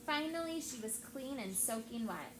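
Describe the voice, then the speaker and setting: A woman's voice: fairly high pitch, animated, moderate pace. A woman teacher reading aloud expressively to a class and prompting pupils with questions. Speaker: elementary school teacher. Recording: echoey room with clear background noise.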